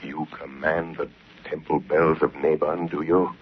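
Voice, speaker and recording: Very deep male voice, grave commanding mystery hero, 1930s radio drama, muffled, noisy old recording. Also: level tone, measured pace, slightly rough, exaggerated voice. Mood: menacing. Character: the Shadow, calm commanding male crime fighter.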